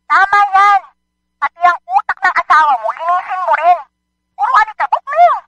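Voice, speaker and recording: A woman's voice: very high-pitched, animated, a little fast, pushed and bright, slight background noise, heavily acted. A woman playing a blunt, teasing female friend in a Filipino radio drama, cheeky in manner. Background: prominent background music.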